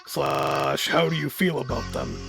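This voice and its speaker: A man's voice: mid-range voice, wildly swooping pitch, measured pace, creaky, a bit bright, faint music behind. A man reading out an audience question in a dramatic way.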